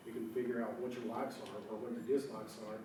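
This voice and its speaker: Brief: hoarse male speaker, quick rambling delivery, distant mic in a big echoing room with background noise. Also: mid-range voice, flat delivery. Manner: rambling, many fillers and self-corrections. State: hoarse from a cold. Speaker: company founder giving a talk. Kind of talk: casual conference talk. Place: large echoey lecture room.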